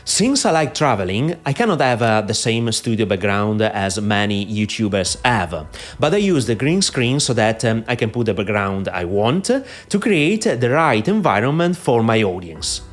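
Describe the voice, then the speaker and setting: A man's voice: on the low side, very animated, moderate pace, soft background music. A middle-aged man with a strong Italian accent, a non-native English speaker, giving online marketing advice straight to camera with many "uh" fillers and emphatic lifts on key phrases. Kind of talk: direct-to-camera advice talk. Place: in front of a green screen. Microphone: podcaster microphone.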